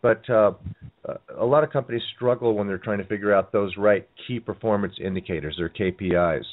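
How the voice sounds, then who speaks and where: A man's voice: lowish voice, fairly quick. A man hosting a radio interview show, asking rambling questions that he often restarts. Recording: tinny phone-like sound.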